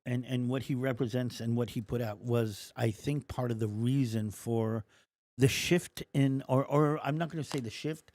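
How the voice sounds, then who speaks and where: A man of average pitch co-hosting a live political talk show. He jumps in with short agreements and pointed questions, and his voice is recorded close and dry. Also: steady tone, normal speed, darkish tone, no room sound. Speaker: co-host.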